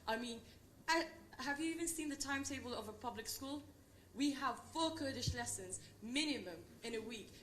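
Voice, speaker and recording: Young british-raised woman from britain debating, highish voice, combative, echoey room. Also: a bit bright. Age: young adult. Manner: combative, challenging. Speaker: university student debater. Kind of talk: argumentative debate rebuttal. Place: debate event hall. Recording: roomy echo, faint noise and clicks.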